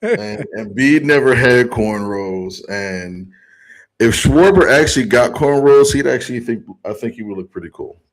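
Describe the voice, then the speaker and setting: A man co-hosting a casual sports podcast, thoughtful and halting, with many pauses and restarts. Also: mid-range voice, lively intonation, rough recording. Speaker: podcast co-host.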